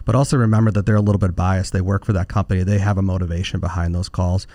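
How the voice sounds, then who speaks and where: Male financial expert, lowish voice, dry close sound, informal and explanatory Q&A delivery. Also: fairly even intonation, racing, dark tone, no echo. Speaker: financial advisor answering listener questions. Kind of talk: conversational expert commentary.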